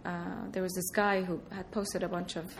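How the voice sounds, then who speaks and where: A woman from Iraq answering interview questions, soft-spoken, often hesitating and laughing. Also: lowish voice, flat delivery, moderate pace, a little hiss or hum. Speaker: artist, interview guest.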